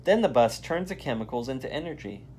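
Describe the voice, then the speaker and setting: A volunteer reads a children's story in a lively, varied voice of medium pitch. There is faint noise in the background. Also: quick pace. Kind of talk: animated children's read-aloud.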